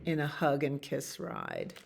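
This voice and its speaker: A woman's voice: extremely low pitch, vocal fry, breathy edge. A woman reading picture books aloud as a children's library storyteller, expressively, with casual asides about the pictures. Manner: expressive reading with acted animal sounds and pauses to comment on pictures. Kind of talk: read-aloud bedtime stories with casual asides.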